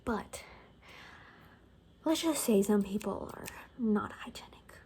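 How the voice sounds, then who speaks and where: A woman speaking softly in an ASMR roleplay as a gossipy survivor, rambling casually and wryly and often dropping into whispers. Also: medium pitch, animated, slow, husky. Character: gossipy female bunker survivor in a zombie apocalypse. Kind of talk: soft-spoken ASMR, improvised gossipy storytelling.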